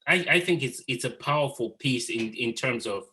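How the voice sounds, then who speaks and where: A man's voice: medium pitch, normal speed, sharp tone. A man hosting an informal group art discussion, speaking earnestly and affirmingly as he moderates. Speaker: host of an artist talk.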